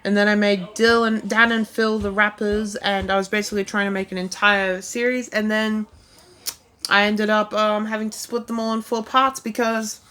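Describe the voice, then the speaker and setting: A woman vlogging in a loose, rambling way, her sentences full of "like" and "um." She looks back on her own channel with a self-deprecating edge. Her pitch sits in an ordinary middle range. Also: little pitch movement, normal speed, pushed and bright. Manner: rambling, heavy use of "like" and "um". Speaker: YouTuber reviewing her own videos. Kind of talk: casual rambling vlog.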